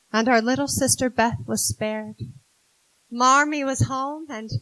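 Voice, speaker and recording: A woman's voice: a little high, fairly bright tone, dry recording, poor audio. A woman acting a spirited, blunt young writer sister in a 1940s-style radio drama, brash and dramatic. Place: live stage in a theater.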